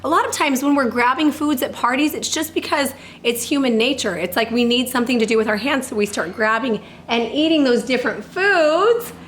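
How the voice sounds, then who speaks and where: A woman in her thirties, a fitness coach giving casual tips to the camera, upbeat and chatty, with a slightly hoarse voice from allergies. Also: high voice, fairly quick, few pauses, a bit bright, slight background noise. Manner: upbeat and chatty, with laughs and self-corrections.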